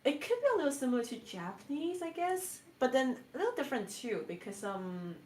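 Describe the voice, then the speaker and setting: A woman's voice: sits high, big pitch swings, fairly quick, a little mellow, a little hiss or hum. A young woman, a native Cantonese speaker with a Cantonese-influenced English accent, chatting casually on a stream with frequent fillers and laughs. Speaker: VTuber guest.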